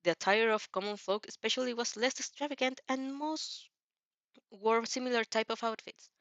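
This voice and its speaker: A woman's voice: on the high side, moderate pace, a bit bright, no room sound. A woman reading fiction aloud as narrator, often stumbling and repeating phrases. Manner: stumbles over words and rereads phrases. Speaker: amateur fan fiction reader. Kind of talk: storybook narration read aloud. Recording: dry, slightly dull lo-fi recording.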